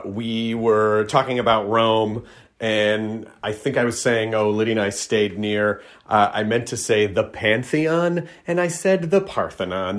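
A man hosting a podcast in casual chat, talking with many fillers and self-corrections, and slipping into halting learner Italian. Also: mid-range voice. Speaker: podcast host.

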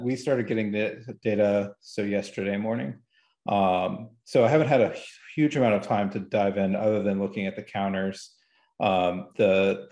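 A man's voice: on the low side, darkish tone, echoey room. A man talking casually and technically about gaming, explaining in an informal, hesitant way as a guest analyst.

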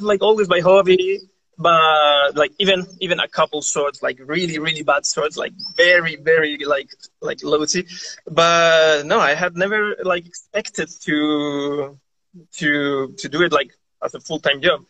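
Man, bright forward tone, higher pitch, casual rambling interview chat. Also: fairly even intonation, unhurried, low-quality recording. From Chile. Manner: rambling, peppered with "like". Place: live video call.

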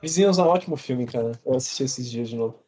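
Young Brazilian man, dark mellow voice. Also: mid-range voice, fast talker, breathy edge. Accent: Brazilian Portuguese. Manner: loose, profane, animated storytelling. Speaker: podcast co-host. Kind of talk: casual chat.

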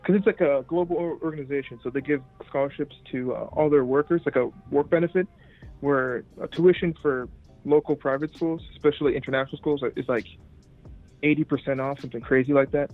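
A man's voice: fairly high pitch, a little fast. A young man whose first language is French, chatting casually and laughing easily in an interview over a call. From Cameroon. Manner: relaxed, chatty, laughs a lot. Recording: tinny phone-like line with faint music.

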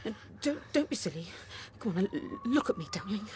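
A woman's voice: medium pitch, sing-song, a little fast, a little mellow, slight background noise, natural voice. A woman playing an anxious, tender English mother in a British radio horror drama, repeating herself as she talks. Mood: nervous soothing. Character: anxious, tender young mother soothing her children.

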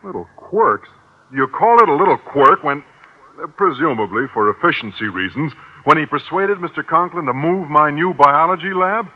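Nervous, earnest American biology teacher. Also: male voice, fairly high pitch, expressive, normal speed. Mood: earnest, aggrieved. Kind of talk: 1950s radio sitcom acting. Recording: dull, hissy old radio broadcast.

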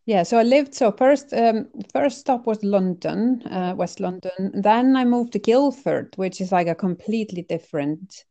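A woman's voice: medium pitch, lively intonation, measured pace, hardly any pauses, dark tone. A middle-aged woman from Iceland talking casually as an interview guest, choosing her words in a hesitant, reflective way. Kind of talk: casual conversational interview, personal anecdotes.

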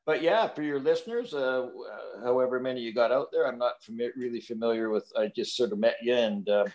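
An older man talks casually as a podcast guest at a middling pitch. He rambles with lots of "you know"s and restarts, and he often pokes fun at himself. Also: a little fast, a little mellow, highs cut off. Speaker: podcast guest, hobby builder. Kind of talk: casual interview chat.

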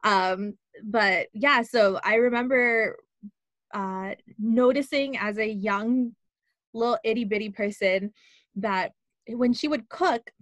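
A woman's voice: a little high, slow pace, a bit bright, no room sound. Chatty young woman, dry sound. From Los Angeles. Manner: chatty, many fillers. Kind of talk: casual conversation.

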